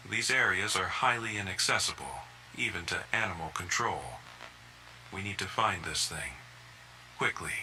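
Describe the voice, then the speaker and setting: A man's voice: low-pitched, expressive, moderate pace, sharp tone, slight background noise, exaggerated voice, poor audio. A man playing a grave official, giving a scripted statement in a serious way. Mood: grave, determined. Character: grave male official tracking a dangerous animal.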